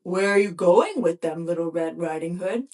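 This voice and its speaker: A woman voicing a wicked big male wolf with a gruff, low, drawn-out manner. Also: darkish tone, far from the mic, close to an everyday voice. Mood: sly.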